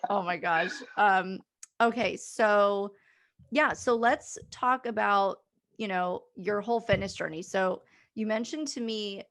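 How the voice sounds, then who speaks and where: A woman's voice: mid-range voice, slow, fairly bright tone. A young adult woman hosting a casual interview, chatty and upbeat, with many filler words. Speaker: host.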